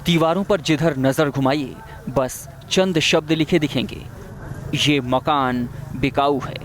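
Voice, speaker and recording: A man's voice: on the high side, slow pace. A man narrating a scripted television news report as its reporter.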